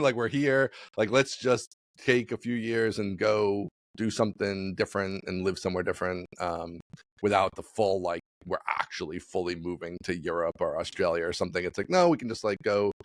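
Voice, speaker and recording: A man's voice: on the low side, fairly even intonation, normal speed, no room sound. A man chatting casually as a podcast host.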